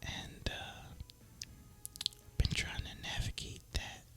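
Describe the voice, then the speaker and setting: A young man softly whispering ASMR gameplay commentary in a breathy, casual, rambling way. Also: slow, soft background music. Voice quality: soft breathy unvoiced whisper. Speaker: gaming ASMR YouTuber.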